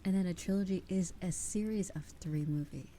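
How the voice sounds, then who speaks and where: A young adult woman whispering close to the mic as an ASMR streamer, rambling and giggling as she chats with her live viewers, her voice a little raspy. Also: lowish voice, fairly quick, mellow, slight background noise. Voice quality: slightly raspy. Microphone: close sensitive ASMR microphone. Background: rain sounds.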